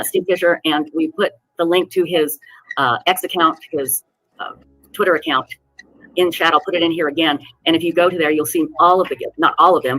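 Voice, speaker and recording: A man hosting a live interview show, brisk and affable as he steers the conversation. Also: on the high side, pushed and bright, no room sound, rough recording. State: has covid. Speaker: interview host.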